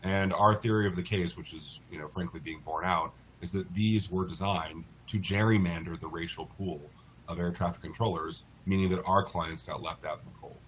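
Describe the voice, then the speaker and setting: Male, American, low voice. Articulate expert guest in an interview, explanatory and measured, with background noise. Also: steady tone, rough recording. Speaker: interview guest, lawyer in a class action.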